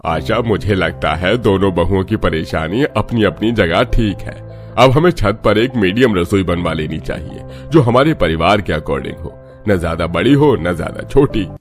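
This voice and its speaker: A man's voice: low-pitched, animated, measured pace. A man playing an older father-in-law, the family elder, in a cartoon family story. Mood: measured, conciliatory. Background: background music.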